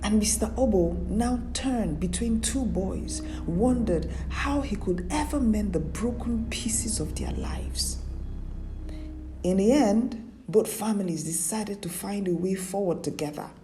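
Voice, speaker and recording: Woman reading a dramatic story, takes her time, music loud behind her. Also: mid-range voice, a little slow. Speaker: storyteller, narrator. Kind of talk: scripted dramatic storytelling narration.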